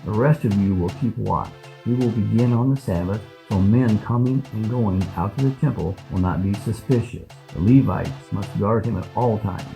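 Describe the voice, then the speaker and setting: An actor playing a kindly, steadfast old priest in a scripted Bible audio drama, speaking in a measured, earnest way. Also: male voice, fairly low pitch, gravelly, mellow, faint music behind, low-quality recording. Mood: commanding. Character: kindly, steadfast old male priest guiding a child king.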